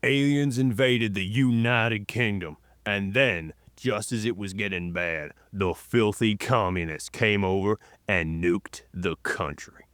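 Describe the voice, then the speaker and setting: A woman's voice: rumbling low, slow, hardly any pauses, dry recording. A young woman playing a brash, scornful podcast hostess, with a drawling, dismissive manner. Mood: breezy, showy. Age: young adult. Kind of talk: mock podcast banter in radio drama.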